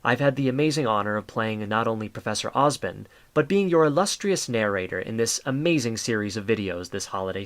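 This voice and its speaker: A man narrating a holiday audio drama as a kindly storyteller, in a measured, grandiose, theatrical way. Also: mid-range voice, a bit bright.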